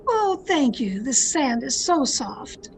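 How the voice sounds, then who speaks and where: A woman playing a tense, secretive woman who narrates her own crime in a deliberate, dramatic old-time radio mystery style. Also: high-pitched, sing-song, creaky, heavily acted. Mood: guarded, nervous. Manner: deliberate, dramatic, suspenseful delivery. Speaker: actor in an online radio play performance. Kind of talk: 1940s radio mystery acting, first-person narration. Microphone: video call. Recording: noisy home video-call recording.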